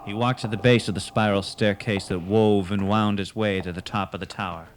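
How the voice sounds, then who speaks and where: Man as a lowish-voiced, solemn ghost-story narrator. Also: steady tone, fairly quick, slight background noise, close to an everyday voice. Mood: ominous, measured. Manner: grave, dramatic storytelling. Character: solemn male narrator of a ghostly sea tale. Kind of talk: scripted radio drama narration.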